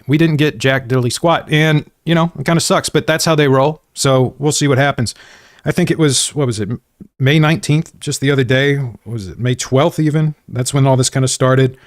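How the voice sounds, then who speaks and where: An American man talks to his livestream viewers in a loose, rambling style full of slang. His pitch sits in an ordinary middle range for a man, and he is self-deprecating as he goes. The sound is dry and close, with very little room in it. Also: no room sound. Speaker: gaming livestream host. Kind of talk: casual livestream chat to viewers. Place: indoors at a desk.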